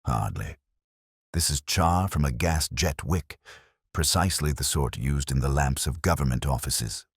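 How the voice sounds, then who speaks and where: A man's voice: a bass voice, unhurried, breathy edge, dry recording, clean studio sound, exaggerated voice. A man voicing a brilliant, unyielding Victorian London detective in an audiobook, formal and decisive in his speech. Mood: analytical.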